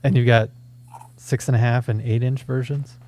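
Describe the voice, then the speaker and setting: A man's voice: medium pitch, steady tone, moderate pace, mellow, a little hiss or hum. A man co-hosting a show, asking questions and chatting casually in an interview.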